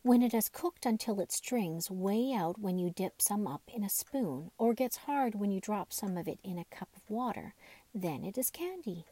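An eager, playful rag doll boy from a children's storybook, acted out by a woman reader. He explains with patient enthusiasm in a mellow voice. The sound is close and dry. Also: mid-range voice, animated, normal speed, no echo, close to an everyday voice. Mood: patiently explaining.

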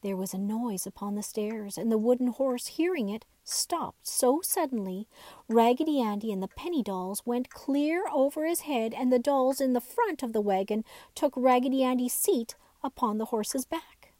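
Gentle woman reading a bedtime story. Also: a little high, expressive, moderate pace, no room sound.

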